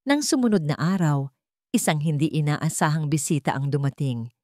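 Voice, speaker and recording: A woman's voice: deep voice, lively intonation, normal speed, dark tone, no room sound, studio quality. A woman narrator reading a dramatic scripted love story. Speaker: narrator of Tagalog love stories.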